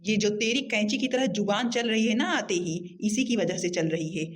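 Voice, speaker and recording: A woman's voice: mid-range voice, expressive, moderate pace, natural voice. A woman voicing a domineering, sarcastic elder brother-in-law in an amateur cartoon comedy. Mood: angry, sulking. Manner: sarcastic, hectoring.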